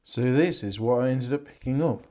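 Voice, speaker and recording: An English man, hoarse and losing his voice, chatting casually in a rambling, self-correcting way about antiques he picked up. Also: mid-range voice, normal speed, dark tone. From England. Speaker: antiques reseller vlogger. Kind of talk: casual vlog chat.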